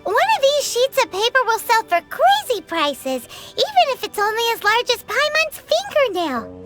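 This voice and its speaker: A woman's voice: very high-pitched, wildly swooping pitch, normal speed, full character voice. A woman voicing a tiny floating female fairy companion, bubbly and greedy, who talks about herself in the third person. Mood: excited. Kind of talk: video game dialogue. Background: game music.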